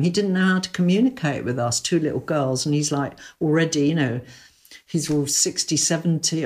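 A woman's voice: deep voice, darkish tone. An older woman, reminiscing chattily as an interview guest and repeating phrases for emphasis. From London, England. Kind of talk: reminiscing in casual conversation.

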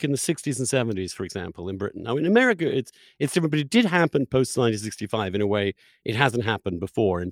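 A man's voice: medium pitch, darkish tone, no room sound, clean studio sound. A man hosting a conversational interview, putting opinions and questions in an emphatic, probing way. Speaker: interviewer, host.

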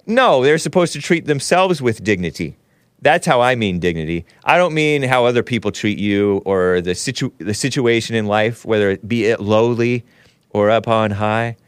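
A man's voice: medium pitch, expressive, moderate pace, clear, resonant tone, no room sound. A man with a nasal voice hosting a casual, opinionated talk show, rambling, laughing often and chatting with viewers. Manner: rambling, laughs often, chats with the live chat. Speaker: show host.

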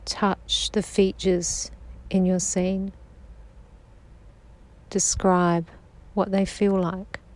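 A woman guiding a sleep meditation in a slow, soft-spoken, soothing manner. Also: medium pitch, level tone. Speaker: meditation guide.

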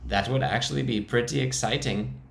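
A man voicing an awkward, high-voiced male survivor with a squeaky tone. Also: fairly quick, creaky. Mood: wry awkward. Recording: roomy echo.

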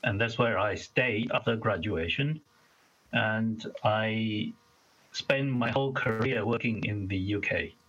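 A man's voice: fairly low pitch, takes it slowly, a bit bright. Man from Malaysia on a dull line. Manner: chatty, listing details. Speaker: guest. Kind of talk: casual interview talk.

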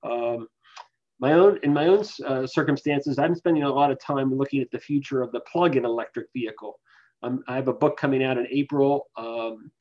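Guy expert explaining stuff in an interview, medium pitch, analytical, kinda muffled lo-fi audio. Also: a little mellow. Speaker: expert guest, professor. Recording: dull, lo-fi voice capture.

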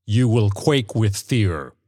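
Man voicing a harsh, monotonous, menacing golem. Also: lowish voice, no echo, studio quality. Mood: threatening. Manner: slow, portentous declaiming. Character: gray-faced menacing male golem, pompous and humorless.

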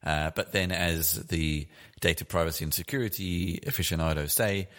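A man's voice: low voice, moderate pace, fairly bright tone. A man co-hosting a podcast interview, chatty and rambling, laughing easily. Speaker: podcast co-host. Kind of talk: casual interview chat.

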